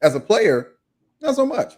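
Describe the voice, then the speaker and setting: A man hosting a casual podcast chat, animated and quick to laugh as he leads the discussion. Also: high voice. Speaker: podcast host.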